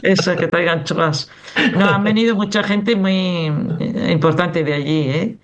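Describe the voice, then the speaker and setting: A woman's voice: on the low side, fairly even intonation, a little slow. Middle-aged Cantabrian woman, chatty rambling interviewee, strong regional accent. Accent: Cantabrian Spanish. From Cantabria, Spain. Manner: rambling, conversational. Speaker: choir member, interview guest. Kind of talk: casual interview chat.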